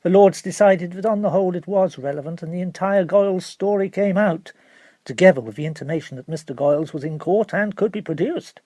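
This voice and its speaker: A man reading literary narration for an audiobook in a formal, expressive storytelling manner. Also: sits high, a little fast, no echo.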